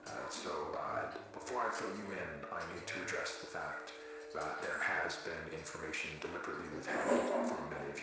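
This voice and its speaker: A man playing a grave research institute official in a horror series, giving a measured, formal address. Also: deep voice, natural voice. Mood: grave, apologetic. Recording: echoey hall with loud music. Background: loud music.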